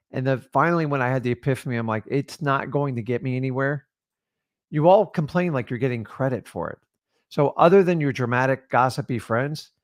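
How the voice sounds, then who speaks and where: A man's voice: medium pitch, dry recording. Blunt no-nonsense man, dry sound. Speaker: real estate wholesaling co-host. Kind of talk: motivational talk to viewers.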